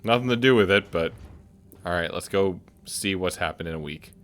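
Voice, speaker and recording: A man's voice: sits low, moderate pace, a little hiss or hum. A man giving casual, chatty game commentary as host, playfully thinking aloud as he reacts. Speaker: gaming video host.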